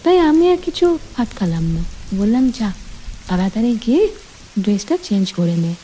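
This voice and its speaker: A woman narrating a scripted romantic story in the first person, deliberately, in short phrases. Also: medium pitch, very animated, dark tone, slight background noise, rough recording.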